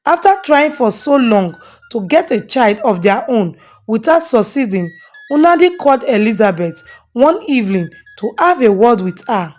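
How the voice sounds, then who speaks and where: A woman's voice: fairly high pitch, very animated, moderate pace, darkish tone. A woman storyteller giving a scripted narration of a folktale. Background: faint music.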